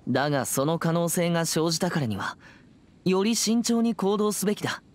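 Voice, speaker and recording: Man voicing an earnest teenage boy exorcist, lively intonation. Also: fairly high pitch, a little hiss or hum, lightly acted. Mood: serious, cautious. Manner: earnest, formal, measured. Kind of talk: scripted Japanese game voice acting.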